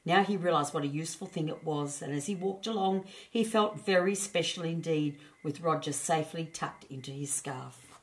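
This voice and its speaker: A woman narrates a children's tale as a storyteller. Her voice sits a little low as she reads the scripted storybook aloud. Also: moderate pace, poor audio.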